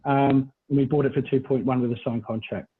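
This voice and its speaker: A man's voice: medium pitch, steady tone, fairly quick, slightly rough. A middle-aged Australian man giving a conversational webinar presentation as a property buyers agent over a video call. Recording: thin, phone-like video call audio.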